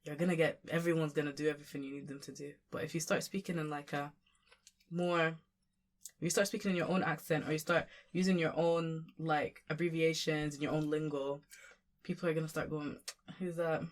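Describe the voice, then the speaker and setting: A woman's voice: low voice, level tone, fairly quick. A young woman from Sudan, a guest and community worker, talking in a casual conversation with many fillers and restarts.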